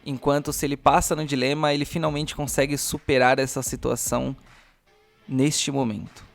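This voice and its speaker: A Brazilian man narrating a tabletop game as game master, in a deliberate, theatrical storyteller's manner. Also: medium pitch, flat delivery, creaky, faint music behind.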